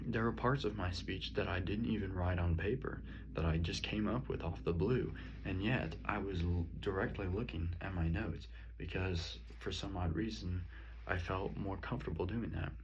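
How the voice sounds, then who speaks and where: A young man from the country with a slight rural twang and a very deep voice, talking slowly and haltingly through a reflection on his own speech, full of ums and restarts. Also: noisy background. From rural area. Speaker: student. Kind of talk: spoken self-reflection on a speech. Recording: dull lo-fi recording.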